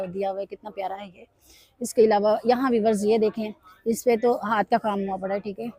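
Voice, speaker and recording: A woman vlogger, hoarse from a sore throat, walking through market stalls and pitching bargains to her viewers. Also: a little mellow, dry recording, low-quality recording. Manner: repeatedly addresses viewers, upbeat sales pitch. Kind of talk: casual walkthrough commentary. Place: busy weekly market stalls.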